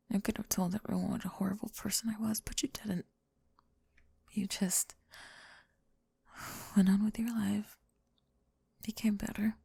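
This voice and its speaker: Breathy, remorseful young woman, acted by a woman. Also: medium pitch, warm tone, no echo, exaggerated voice. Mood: tender, sleepy. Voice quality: breathy, often whispered. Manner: halting, tender, confessional. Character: remorseful, vulnerable young woman confessing to someone she rejected. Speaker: ASMR roleplay voice actor. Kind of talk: intimate emotional ASMR roleplay. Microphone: close, dry microphone.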